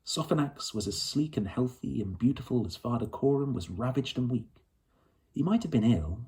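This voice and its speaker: A man's voice: medium pitch, warm tone. A man reading a storybook aloud as narrator. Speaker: audiobook reader.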